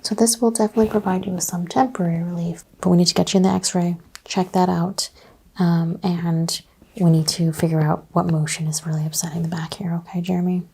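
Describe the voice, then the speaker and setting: A soft-spoken woman playing a chiropractor in an ASMR roleplay, talking in a calm clinical way and often dropping to a whisper. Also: lowish voice, fairly quick, vocal fry, a little hiss or hum.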